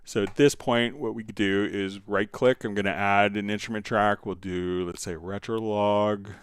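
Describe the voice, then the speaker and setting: A man hosting a casual software tutorial, thinking aloud and hesitating as he demonstrates. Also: on the low side, steady tone, moderate pace, smooth, dry recording. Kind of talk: casual screen-share software tutorial, live stream.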